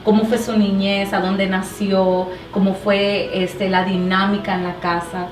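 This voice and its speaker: A woman's voice: mid-range voice, flat delivery, a little slow. Woman cohost on a church talk show, kinda far from the mic, echoey, music behind. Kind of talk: hosting a faith talk show.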